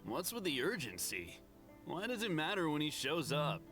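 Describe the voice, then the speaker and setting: A man's voice: on the high side, huge pitch swings, moderate pace. A man playing a defensive, clueless townsman in a video game, brusque and questioning. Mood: irritated. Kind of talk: English video game voice acting. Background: game music.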